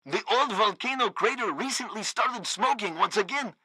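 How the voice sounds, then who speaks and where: A man's voice: sits high, normal speed, bright, forceful tone, slightly rough, no echo, heavily acted. An actor playing a pompous, fawning radio news host in a satirical game, grandiose and theatrical in his announcements. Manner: grandiose, sycophantic. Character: pompous, fawning male propaganda radio host, gleefully loyal.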